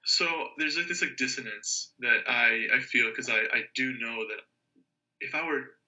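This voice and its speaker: A man's voice: medium pitch, measured pace, sharp tone, muffled recording. A man chatting casually as a guest, thinking aloud and rambling with restarts. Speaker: language learner guest. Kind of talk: casual conversation.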